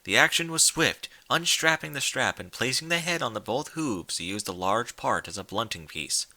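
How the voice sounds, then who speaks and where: A man reading stories aloud in a theatrical, deliberate style of dramatic scripted narration. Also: medium pitch, expressive, sharp tone, no echo. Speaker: story reader.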